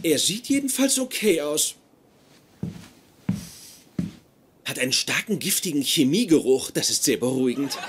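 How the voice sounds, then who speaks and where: An actor playing a fussy, germ-fearing young genius physicist in a dubbed sitcom, precise and insistent. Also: male voice, a little high, very animated, a little fast, noisy background. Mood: guarded.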